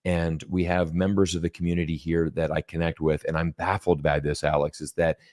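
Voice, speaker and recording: A man from Singapore hosting a livestream, talking in a casual, animated way with plenty of fillers and acted-out quotes. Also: a bass voice, brisk, no room sound, studio quality. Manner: animated, mimics quoted exchanges, many fillers. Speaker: channel host. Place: lives in Las Vegas.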